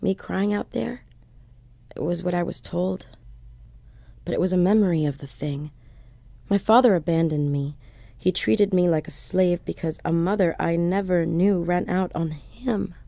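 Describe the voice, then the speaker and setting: A woman's voice: lowish voice, measured pace, creaky, mellow, dry recording. A woman playing a haunted driver in a horror audio drama, confiding her eerie memories dramatically and directly to listeners. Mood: pained, haunted. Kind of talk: first-person horror audio drama monologue.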